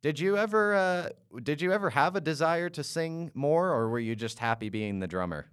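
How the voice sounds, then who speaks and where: A man from Canada hosting an interview and asking long, halting questions full of "uh"s and restarts. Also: on the high side, animated, fairly bright tone, smooth, dry recording, clean studio sound. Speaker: podcast host.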